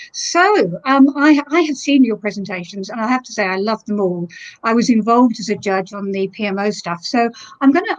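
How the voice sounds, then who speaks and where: A woman's voice: on the high side, dull audio. A woman hosting a panel in an informal, encouraging way. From South Africa. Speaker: panel host, university lecturer. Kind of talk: friendly moderated panel talk.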